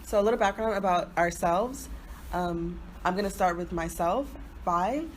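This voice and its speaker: A woman's voice: on the low side, moderate pace, a little hiss or hum, poor audio. A woman in her early twenties from Houston co-hosting a podcast, telling stories casually with slang and lots of "like". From Houston, Texas, not raised there from birth. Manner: informal, slangy, peppered with "like". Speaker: podcast co-host. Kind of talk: casual chatty storytelling.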